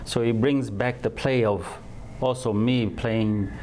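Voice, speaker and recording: A man from Tanzania answering interview questions, halting and repeating words as he searches for phrasing. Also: mid-range voice, steady tone, darkish tone, a little hiss or hum. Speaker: guest.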